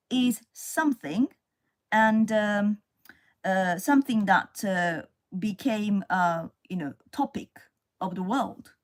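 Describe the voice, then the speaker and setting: Slow-speaking Japanese woman streaming live. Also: mid-range voice, darkish tone. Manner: unhurried, stops often with fillers, greets viewers. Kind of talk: casual live-stream chat.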